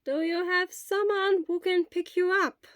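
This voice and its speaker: Woman acting elderly female school nurse, very high voice, kindly, brisk, careful delivery, storybook character. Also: steady tone, dry recording, studio quality. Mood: insistent, caring. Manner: slow, deliberate questioning.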